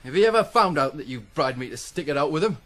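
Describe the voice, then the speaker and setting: Blunt, higher-voiced farmhand. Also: male voice, expressive, fast talker, fairly bright tone. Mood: indignant, grumbling. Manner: blunt, needling, grumbling. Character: gruff, proud, taunting farm wagoner, male. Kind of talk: 1980s radio drama acting.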